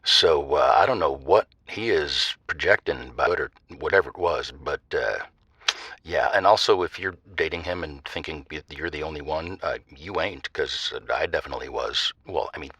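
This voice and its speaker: Smug flirty guy phoning a radio play, rock-bottom pitch, rough-edged, hesitant innuendo. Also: moderate pace, pushed and bright, no room sound, heavily acted. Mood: smug, catty.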